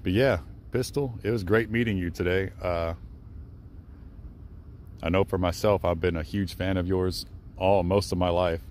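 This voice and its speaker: A man's voice: low voice, steady tone, normal speed, long pauses, slight background noise. A young man vlogging outdoors in a cemetery, talking respectfully in a rambling way with many fillers and self-corrections. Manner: respectful, hushed. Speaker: travel vlogger. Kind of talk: casual vlog monologue at a graveside.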